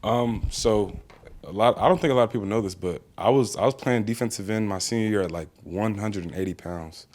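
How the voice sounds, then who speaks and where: Low-voiced young American man, casual. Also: brisk, darkish tone. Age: young adult. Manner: casual, plainspoken, with frequent fillers. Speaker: college football player. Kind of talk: press conference answers.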